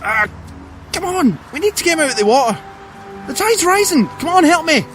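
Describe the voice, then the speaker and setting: A man's voice: very high-pitched, very animated, a little fast, music in the background. A man playing a young, cocksure, protective homeless brother in an audio drama. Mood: urgent.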